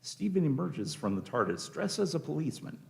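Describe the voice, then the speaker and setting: A man's voice: fairly high pitch, sing-song, fairly quick, creaky. A man reading scripted narration for a radio play, setting each scene as the narrator.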